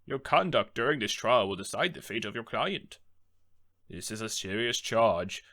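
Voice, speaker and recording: A man voicing a stern but patient older courtroom judge in a game script, formal and measured. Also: fairly low pitch, animated, pushed and bright, voice pushed down.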